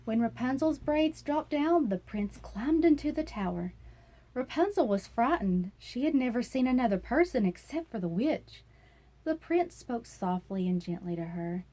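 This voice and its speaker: A woman's voice: a little high, animated, normal speed, dark tone. A woman narrating a children's fairy tale as a storyteller, reading aloud expressively.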